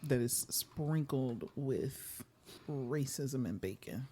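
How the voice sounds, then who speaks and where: A woman in her forties hosting a casual podcast, loud and playful, often breaking into laughter. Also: extremely low pitch, lively intonation, takes it slowly, slight background noise. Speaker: podcast host. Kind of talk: casual chat.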